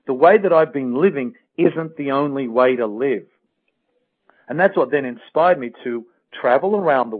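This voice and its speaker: A man with an Australian accent speaking as a guest life coach in a motivational interview, earnest and emphatic, repeating phrases for effect. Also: mid-range voice. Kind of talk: motivational interview talk with personal anecdotes. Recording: thin, phone-like radio sound.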